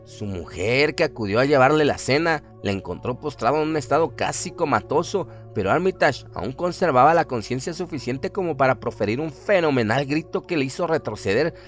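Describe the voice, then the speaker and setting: A man's voice: mid-range voice, animated, normal speed. A man narrating a scripted horror story in a dramatic radio style. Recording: prominent music under the voice. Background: music.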